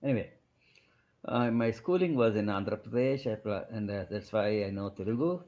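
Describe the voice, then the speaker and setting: An older man from India with an Indian accent, a doctor whose first language is Kannada, telling his life story in a halting, digressive way. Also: on the low side, animated, darkish tone. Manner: halting, digressive, many restarts. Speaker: medical doctor guest. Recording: dull lo-fi recording.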